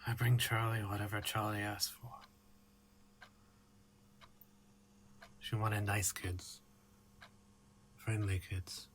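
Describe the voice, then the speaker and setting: A man playing a terrified, haunted murder suspect in a radio play, raspy and halting. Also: lowish voice, flat delivery, fairly bright tone, a little hiss or hum. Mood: guarded. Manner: pleading, broken, halting.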